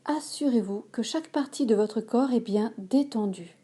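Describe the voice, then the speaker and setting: A woman yoga instructor leading a guided relaxation in a calm, measured manner, giving instructions in short phrases. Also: on the high side, husky. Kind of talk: slow guided relaxation meditation.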